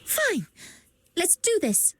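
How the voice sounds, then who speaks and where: Very high-voiced earnest young woman. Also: wildly swooping pitch, slow, heavily acted. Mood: wary, resolute. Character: earnest, defiant young woman fighting for justice. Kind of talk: video game voice acting.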